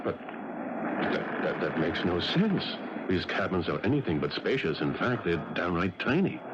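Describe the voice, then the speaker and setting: A man's voice: sits low, big pitch swings, measured pace. An actor playing a hearty, chatty man who is curious about an old friend's secrets, in a 1970s radio drama. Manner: hearty and chatty, laughing easily. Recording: dull old radio broadcast with hiss.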